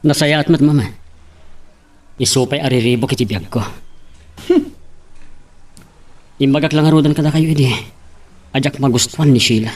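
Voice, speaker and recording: A man's voice: medium pitch, normal speed, long pauses, darkish tone, faint music behind, lightly acted. A man acting a defiant, devoted young son in love, in an Ilocano romantic radio drama with faint noise behind him. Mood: reproachful.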